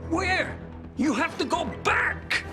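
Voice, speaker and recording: An actor playing an excitable older bomb maker in a video game, stammering and theatrical as he fumbles for words. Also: extremely high pitch, slow pace, music in the background, exaggerated voice. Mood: frantic. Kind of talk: dramatic video game acting.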